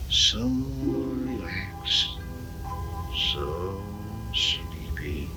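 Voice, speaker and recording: A man's voice: low-pitched, full character voice, rough recording. A man playing an earnest, intense doctor and hypnotist in a 1970s radio drama, with a dramatic, insistent manner. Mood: hypnotic, soothing.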